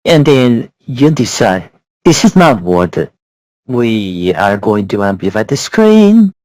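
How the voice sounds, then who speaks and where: Slow-talking man reviewing software. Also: medium pitch, big pitch swings, dry recording. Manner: blunt, repetitive criticism. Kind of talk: casual spoken software review.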